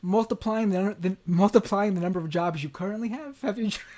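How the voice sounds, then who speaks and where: A man's voice: sits high, a little fast, a crowd in the background. A man in his thirties hosting a podcast interview, talking bluntly and jokily with some swearing. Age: 30s. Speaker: show host. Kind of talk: casual podcast chat.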